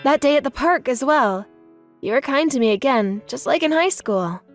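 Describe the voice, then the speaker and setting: A woman's voice: sits high, lively intonation, moderate pace, soft background music. A woman playing a kind, grateful young woman, an old school friend, in a manga dub. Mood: tender, grateful.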